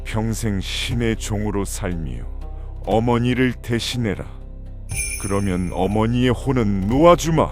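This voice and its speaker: Man playing an imperious, low-voiced ancient god. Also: lively intonation, unhurried, darkish tone, faint music behind. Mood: stern, commanding. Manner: imperious, solemn, declarative. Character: ancient, arrogant, commanding male god demanding servitude. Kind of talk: Korean occult audio drama acting.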